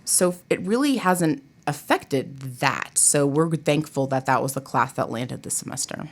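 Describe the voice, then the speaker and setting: A woman's voice: low-pitched, expressive, unhurried. A woman chatting informally and expressively as an interview guest, like a college theatre professor. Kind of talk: casual interview chat.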